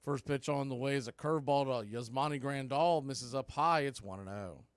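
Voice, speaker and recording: A man calling baseball play-by-play in a relaxed, chatty way with wry asides. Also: medium pitch, normal speed, smooth, dry recording. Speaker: play-by-play announcer.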